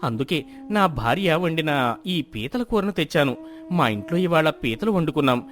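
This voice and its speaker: A man's voice: a little high, animated, normal speed, fairly bright tone, soft background music. An actor playing a humble, sincere village fisherman in a moral story cartoon, speaking respectfully.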